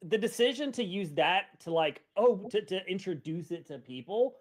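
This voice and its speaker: Animated high-pitched man on a podcast. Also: moderate pace. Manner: animated, self-interrupting. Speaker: podcast host. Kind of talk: casual podcast chat.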